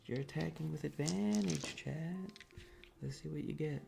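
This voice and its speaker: A soft-spoken, partly whispering ASMR streamer chatting casually and playfully with viewers into a close microphone, often repeating phrases. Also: mid-range voice, normal speed, gravelly, noisy background. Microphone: close adjustable microphone.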